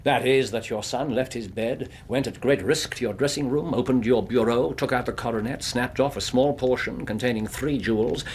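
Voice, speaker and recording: An actor playing an incisive, eccentric Victorian gentleman detective in a radio drama, precise, probing and theatrical, with an English accent. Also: mid-range voice, a little hiss or hum, natural voice.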